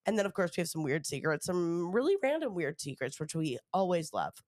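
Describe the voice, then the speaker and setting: A woman's voice: fairly low pitch, very animated, fairly quick, a little mellow, no echo, studio quality. A woman hosting a podcast with a stuffy nose from a cold, chatting casually with lots of fillers. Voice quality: nasal. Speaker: podcast host. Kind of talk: casual chat.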